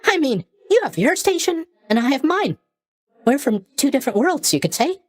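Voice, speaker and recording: A man's voice: very high-pitched, big pitch swings, moderate pace, no room sound. A man playing a cheerful, drowsy young god of sleep in a video game, with a chatty, blithely tactless, rambling manner. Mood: chatty, bashful. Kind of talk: animated video game character voice lines.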